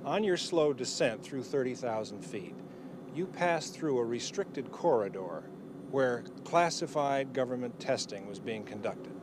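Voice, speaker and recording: A bureaucratic military official played by a middle-aged man in a film drama. His voice is medium in pitch, courteous and formal, announcing over a handheld microphone with clear cabin noise. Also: expressive, unhurried, lightly acted. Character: polite, bureaucratic male Air Force official. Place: inside an airliner cabin. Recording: noisy airplane cabin announcement.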